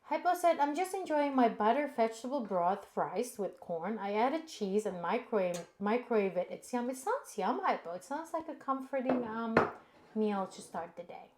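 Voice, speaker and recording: A woman's voice: on the high side, lively intonation, moderate pace, a little mellow, a little hiss or hum. A woman with an Indonesian accent co-hosting a cooking stream, chatting casually and reading viewer comments aloud with fillers and laughs.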